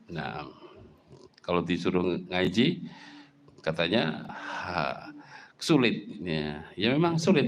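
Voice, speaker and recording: A middle-aged Javanese man giving a lively sermon, with a Javanese accent, often jumping up in pitch for emphasis and asking rhetorical questions. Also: slight background noise. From Java, Indonesia. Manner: animated, swings pitch up for emphasis, rhetorical questions, mimics speech. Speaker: Islamic preacher.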